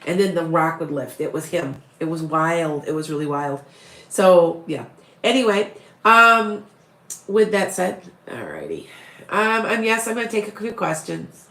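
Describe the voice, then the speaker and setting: A woman's voice, low-pitched and gravelly, with a raspy edge from a head cold that leaves her sniffly and a little short of breath. She chats casually with live-stream viewers, rambling and often restarting her sentences. The sound is roomy and echoey. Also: animated, measured pace, a bit bright. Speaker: psychic medium live-streaming to followers.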